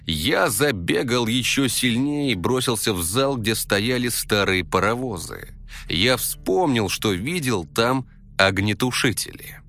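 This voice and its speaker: Male storyteller, animated pitch, coarse street slang, comic first-person narration, music underneath. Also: unhurried, gravelly.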